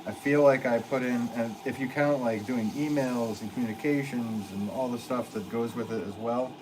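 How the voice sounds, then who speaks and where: A man's voice: mid-range voice, steady tone, moderate pace, background noise. A man potter hosting a casual livestream from his studio, rambling through viewer comments with lots of ums and easy laughs. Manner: rambling, chatty, laughs often, many ums.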